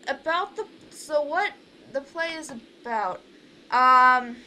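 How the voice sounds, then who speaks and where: A woman's voice: high voice, expressive, slow pace, bright, forceful tone, rough recording. A young woman chatting casually on a group call as host, rambling, giggly and often interrupting herself. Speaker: host of an online fan project meeting.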